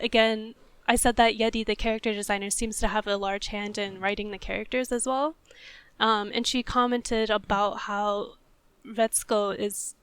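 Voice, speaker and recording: A woman's voice: medium pitch, fairly bright tone, smooth, no room sound. A woman co-hosting a podcast, talking through a topic in a casual, analytical and thoughtful way. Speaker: podcast host.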